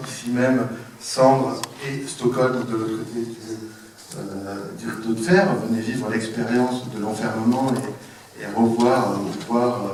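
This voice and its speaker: A man, a theatre programmer, introducing guests in a halting way with many fillers. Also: mid-range voice, a little mellow, a little hiss or hum. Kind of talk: hesitant public introduction. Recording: echoey room from far off.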